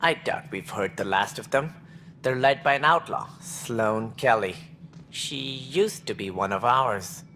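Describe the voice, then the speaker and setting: An actor playing a stern, proud alien administrator in a video game, speaking in formal, measured, clipped phrases. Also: male voice, mid-range voice, sharp tone, slight background noise. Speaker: alien colony director.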